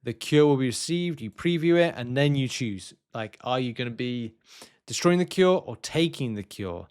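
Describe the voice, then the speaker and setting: A man with a medium-pitched voice hosts a casual gaming news commentary. He thinks aloud in a rambling, hesitant way, moving between reading announcements and offering his own explanations. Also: expressive, normal speed, darkish tone, clear, resonant tone. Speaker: gaming video host.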